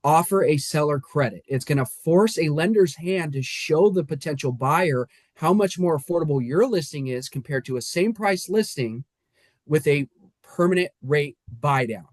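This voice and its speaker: Upbeat mortgage lender, dry sound. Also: male voice, fairly high pitch, lively intonation, moderate pace, dry recording. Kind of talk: casual podcast talk. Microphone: remote call.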